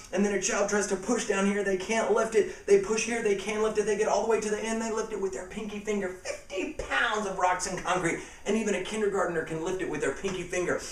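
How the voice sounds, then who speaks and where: A man's voice: high voice, recorded from a distance. Middle-aged guy hyping his school show, punchy and repetitive, echoey room. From Texas. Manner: animated, emphatic, repeats key phrases. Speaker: school assembly performer promoting his show. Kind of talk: casual promotional talk to camera.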